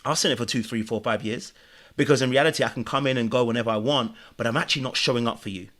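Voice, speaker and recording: A man's voice: mid-range voice, very fast, fairly bright tone. A man giving earnest, preachy relationship advice as a guest on a podcast chat. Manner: earnest, preachy, speaks in lessons.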